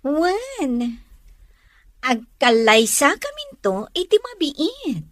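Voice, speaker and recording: A woman's voice: high voice, huge pitch swings, extremely slow, a bit bright, no echo, full character voice. A woman acting a well-off young city woman in love, eager and coaxing, in an Ilocano radio drama with a Filipino accent. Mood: friendly.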